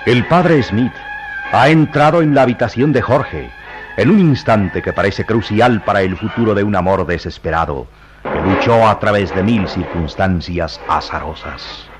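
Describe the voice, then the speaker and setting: A man narrating a mystery radio drama in a grave, deliberate, literary style. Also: lowish voice, over music, lightly acted. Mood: solemn, dramatic. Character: solemn male narrator of a mystery serial.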